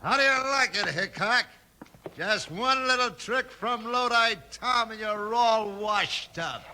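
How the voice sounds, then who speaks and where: An actor playing a short-tempered outlaw gang boss in a 1950s radio western, blustering and commanding in an American western drawl. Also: male voice, sits high, takes it slowly. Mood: gloating. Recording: hissy, clicking old radio recording.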